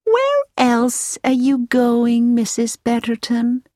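A woman voicing a pleasant, sociable middle-aged American lady with an American accent who talks slowly and asks questions in an audiobook. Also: fairly high pitch, very animated, a little mellow, no room sound, studio quality. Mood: friendly. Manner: slow, inquisitive and sociable.

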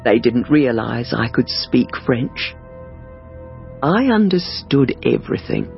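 A man reading a first-person life story slowly and carefully for language learners, on a dull lo-fi recording. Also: medium pitch, big pitch swings, soft background music. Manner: slow, careful, clearly paced reading. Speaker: audiobook reader.

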